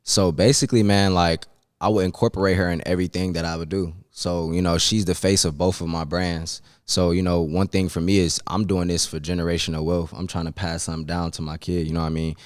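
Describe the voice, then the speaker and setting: A young man from Cleveland in his twenties, chatting as a podcast guest. His voice sits very low and comes across smooth and clear. He talks in a relaxed, slangy way, filling pauses with ums, and the sound is dry and close. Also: no room sound. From Cleveland, Ohio. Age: 20s. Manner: laid-back, slangy, frequent ums. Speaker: podcast guest, business owner. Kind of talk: casual interview chat.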